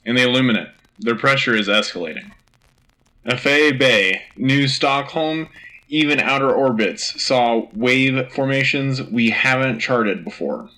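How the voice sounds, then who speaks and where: A man's voice: medium pitch, unhurried, pushed and bright, poor audio. A man playing an earnest, rousing war news anchor on a sci-fi radio show, with dramatic, punchy delivery. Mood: grave, urgent.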